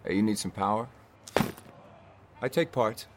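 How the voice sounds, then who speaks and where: An actor playing a hard-bargaining parts hawker in an audio drama, brusque and businesslike. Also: male voice, fairly low pitch, lively intonation, unhurried, noisy background.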